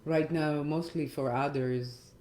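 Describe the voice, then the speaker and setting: A very slow-speaking middle-aged woman leads a reflective meditation, leaving gentle pauses between phrases. Her tone is soft-edged and mellow. The voice sits in a roomy echo with faint music underneath. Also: low-pitched, fairly even intonation. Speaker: meditation guide and hypnotherapist. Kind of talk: reflective guided meditation talk.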